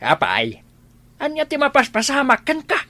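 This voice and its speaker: A man's voice: very high voice, huge pitch swings, moderate pace, sharp tone, exaggerated voice. A man with a northern Philippine accent acting a concerned, kindly old father in a radio drama.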